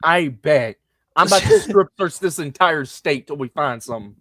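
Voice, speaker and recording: A man's voice: fairly high pitch, expressive, moderate pace, fairly bright tone, no room sound, clean studio sound. A man co-hosting a casual movie review chat, acting out quotes and laughing often. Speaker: podcast co-host.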